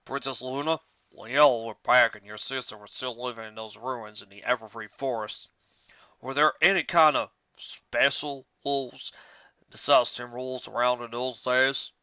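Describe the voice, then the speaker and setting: An earnest country stallion, acted by a man for an audio fan story. He is polite, folksy and worried, with a bright, smooth voice. The recording is close and dry. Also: mid-range voice, fairly even intonation, moderate pace, no room sound, dull audio. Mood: worried, respectful.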